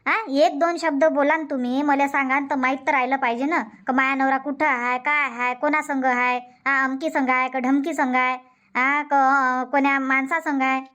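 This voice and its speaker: A woman playing a high-pitched, nagging village housewife. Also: little pitch movement, normal speed, a bit bright. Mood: aggrieved. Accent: Varhadi Marathi (Vidarbha). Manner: scolding, repetitive complaining. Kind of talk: Marathi comedy cartoon dubbing.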